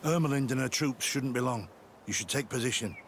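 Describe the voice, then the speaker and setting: An actor playing a remorseful, dutiful sergeant in a video game, speaking earnestly and soberly. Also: male voice, medium pitch, a little fast, a bit bright, a little hiss or hum, lightly acted. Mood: sober, alert. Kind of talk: scripted video game acting.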